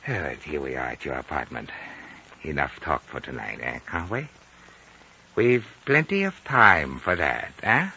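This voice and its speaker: A man's voice: rumbling low, big pitch swings, measured pace, pushed and bright, heavily acted. A man playing an urbane, courteous Chinese elder of ninety-seven in a 1950s radio drama, suave and precise. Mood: calm, wry. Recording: muffled, hissy old radio recording.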